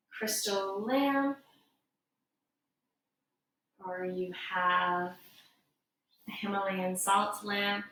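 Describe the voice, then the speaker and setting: A woman giving guided meditation instruction as a yoga teacher, in a gentle, unhurried, soothing way. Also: mid-range voice. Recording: echoey room, distant mic, faint noise.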